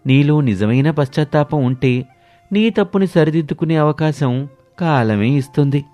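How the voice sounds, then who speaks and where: An old holy sage, played by a man in a storybook tale, solemn and prophetic. His voice is pushed up high and grave in manner, with music behind it. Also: expressive, normal speed, mellow, close to an everyday voice. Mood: solemn, guiding.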